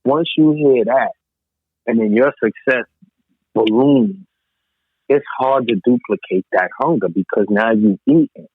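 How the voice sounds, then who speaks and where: A man's voice: mid-range voice, expressive, unhurried, creaky, no echo. A man in casual, opinionated music discussion on a podcast, speaking emphatically and repeating phrases to drive points home. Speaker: podcast co-host. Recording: thin phone-like line.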